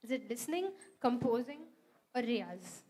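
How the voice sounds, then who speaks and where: Fairly high Indian female co-host. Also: lively intonation, normal speed, warm tone. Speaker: event co-host. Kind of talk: live stage hosting.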